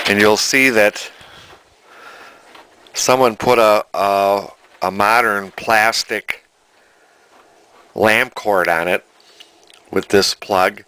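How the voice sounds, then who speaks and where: A man, talking casually and unscripted as a collector in a deliberate, plainspoken, folksy way. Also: fairly low pitch, pushed and bright, a little hiss or hum. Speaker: antique radio collector and restorer. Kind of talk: casual unscripted video narration.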